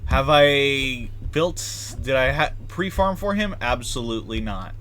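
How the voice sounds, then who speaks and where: A man's voice: medium pitch, a little slow, a bit bright. A man giving casual live video game commentary as a streamer, talking to himself in a self-deprecating, mildly sweary way. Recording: clear keyboard and typing noise.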